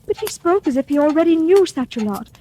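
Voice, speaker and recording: A woman's voice: very high-pitched, expressive, brisk, warm tone. An actress playing a scheming young widow who poisoned her husband, with 1940s radio acting. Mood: coaxing. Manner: coaxing, then scornful. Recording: faint hiss, old radio broadcast.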